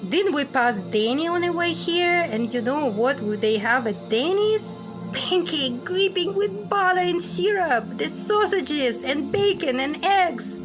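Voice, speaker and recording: A woman playing a brash, sharp-tongued secret agent on the run in an audio drama, blunt and sardonic. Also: high-pitched, expressive, a little slow, music in the background. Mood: teasing, sardonic.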